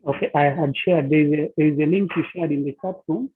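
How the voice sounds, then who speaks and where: A man from Uganda, a network engineer, attending a webinar and speaking haltingly with repeated words. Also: a little high, slight background noise. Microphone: video call. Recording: tinny, dry video-call audio.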